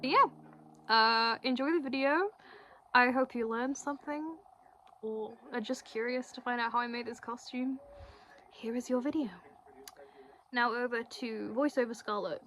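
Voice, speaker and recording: A young woman with a bubbly, expressive and slangy manner, chatting to camera as a video creator introducing her vlog. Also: a little high, normal speed, long pauses, a little hiss or hum, rough recording. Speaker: cosplay video creator and host. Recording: music playing behind the voice. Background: music.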